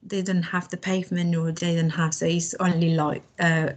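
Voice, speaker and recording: A woman's voice: lowish voice, level tone, normal speed. A young woman from Spain, a language student chatting as a podcast guest, hesitant with many fillers and restarts. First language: Spanish. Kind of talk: casual podcast conversation.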